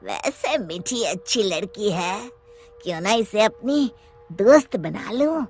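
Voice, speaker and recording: A woman's voice: medium pitch, animated, slow, music in the background. A woman voicing a mischievous, menacing witch ghost who is a protective friend, speaking in a commanding, theatrical way. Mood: musing.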